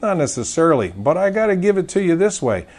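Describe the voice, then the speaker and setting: A man's voice: high voice, very animated, quick pace, darkish tone. A man speaking as an interview guest, telling anecdotes casually in a folksy, emphatic way and acting out dialogue. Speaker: gun store owner, interview guest. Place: inside his gun store.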